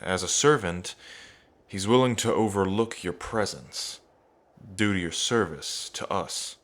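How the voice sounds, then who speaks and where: A man's voice: low voice, measured pace, fairly bright tone. A man playing a young dragon king in an ASMR roleplay, cocky, playful and affectionate, talking in a casual, teasing way straight to the listener. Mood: casual warning.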